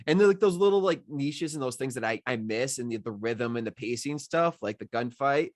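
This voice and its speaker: A man's voice: medium pitch, lively intonation, brisk, no room sound. A man speaking casually and effusively as a writer in a group discussion, rambling as he gives thanks. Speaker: writer receiving feedback on his script.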